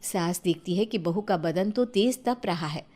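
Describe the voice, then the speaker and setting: A woman's voice: fairly low pitch, a little slow, a little mellow. A woman narrator reading a scripted family story.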